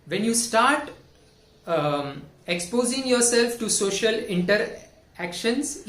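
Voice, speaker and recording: A man giving an earnest, measured self-help talk with numbered points. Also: sits high, reverberant. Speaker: self-help video host. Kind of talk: scripted motivational talk.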